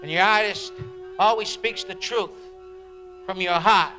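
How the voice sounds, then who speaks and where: A man's voice: high-pitched, measured pace, music in the background. A man playing a fervent beat writer, delivering a dramatic, emphatic spoken-word monologue about his craft. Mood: earnest, solemn. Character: fervent beat-generation male writer reminiscing and preaching on writing.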